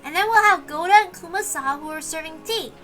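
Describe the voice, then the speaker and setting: A woman voicing an eager, confident little girl in a visual novel read-aloud, earnest and excitable. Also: extremely high pitch, fast talker, faint music behind, voice pushed up.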